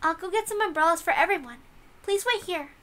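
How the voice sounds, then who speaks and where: A woman voicing a polite, hesitant young maid servant in a story reading, deferential and soft-spoken. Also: very high voice, brisk, voice pushed up. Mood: obliging.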